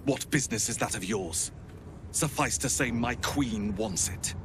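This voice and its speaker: An actor playing a cold, menacing male githyanki inquisitor who speaks in a measured, condescending way. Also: medium pitch, lively intonation, vocal fry, background noise. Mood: imperious. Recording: game audio with music behind.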